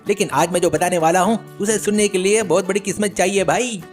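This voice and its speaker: Man putting on a pushy, high-pitched village braggart. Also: a little fast, faint music behind, close to an everyday voice. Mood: boastful, eager. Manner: bragging, insistent, wheedling. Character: boastful, idle village chatterbox man, pushy tall-tale teller.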